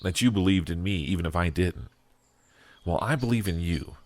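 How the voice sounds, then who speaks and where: A man's voice: sits low, animated, moderate pace. A man playing a devoted, anxious husband who narrates his own story in an earnest audio drama style. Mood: tender, encouraging. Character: devoted husband of an ailing wife, anxious, first-person narrator. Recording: clearly noisy audio drama track.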